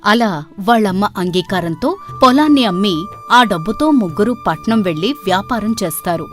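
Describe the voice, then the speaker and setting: A woman's voice: mid-range voice, sing-song, normal speed, soft background music. A woman reading scripted storybook narration as the narrator of a moral tale.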